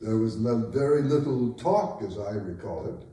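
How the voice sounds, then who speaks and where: A man's voice: lowish voice, expressive, moderate pace, dark tone, natural voice. Man playing a proud, bitter king, very echoey. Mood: rueful, wistful. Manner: theatrical, declamatory, impassioned. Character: proud, bitter, aging king quarreling with his wife. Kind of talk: staged dramatic reading of a play. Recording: roomy, echoing hall, distant microphone.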